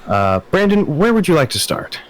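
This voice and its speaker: A man's voice: a little high, sing-song, moderate pace. A young man co-hosting a casual movie podcast, upbeat and rattling off lists of release dates. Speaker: podcast co-host.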